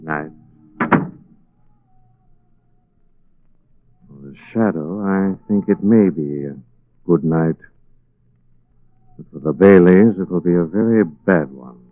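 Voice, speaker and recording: An actor playing a calm, resolute, protective gentleman crime-fighter in a 1940s radio drama. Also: low voice, measured pace, no room sound. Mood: composed, ominous. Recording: muffled old radio recording.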